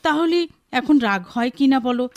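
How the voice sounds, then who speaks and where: A woman playing an affectionate, mildly complaining young wife and mother in a Bengali audio drama, chatty and expressive, with a Bangladeshi accent. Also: sits high, normal speed, dry recording. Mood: playful grumbling.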